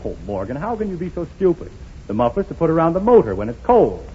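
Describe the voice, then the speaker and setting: Prim naive know-it-all, swoopy highish voice, old radio comedy with hissy sound. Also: male voice, big pitch swings, quick pace. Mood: chiding. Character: naive, scolding know-it-all male shopping companion. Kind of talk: 1930s radio comedy acting. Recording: scratchy dull 1930s radio recording.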